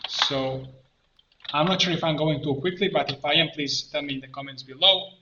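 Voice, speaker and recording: A man with a bright, slightly high voice talks through a software tutorial. He thinks aloud in halting, step-by-step phrases. The sound is echoey with faint muffling and some noise, with keyboard typing and clicks behind him. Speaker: tutorial presenter and coach. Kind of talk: informal screen-share tutorial, thinking aloud. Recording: roomy echo, muffled band, background noise. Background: keyboard typing and mouse clicks.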